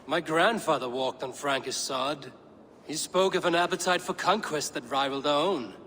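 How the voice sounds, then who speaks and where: A man playing a blunt, steely Viking warrior in a game cutscene, with measured, terse, formal lines. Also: a little high, bright, forceful tone, long reverb tail, slight background noise. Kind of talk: dramatic game cutscene acting.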